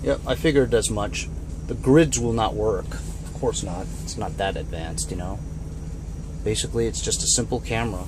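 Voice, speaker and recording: A man's voice: fairly low pitch, normal speed, background noise. A man giving a casual, off-the-cuff gadget review in a laid-back, colloquial way, as a vlogger talking inside a van.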